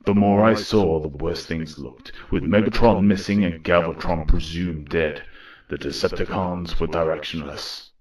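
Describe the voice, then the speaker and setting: An actor playing a weary, solemn robot war leader in an audio drama, speaking in a grave, measured way. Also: male voice, low voice, steady tone, darkish tone. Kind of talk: audio drama dialogue and first-person narration.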